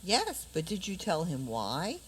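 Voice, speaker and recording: A woman's voice: fairly low pitch, wildly swooping pitch, measured pace, a bit bright, slight background noise, heavily acted, low-quality recording. A woman acting a dreamy yet bold fairy-tale princess in a community radio play, with a theatrical delivery. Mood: resolute.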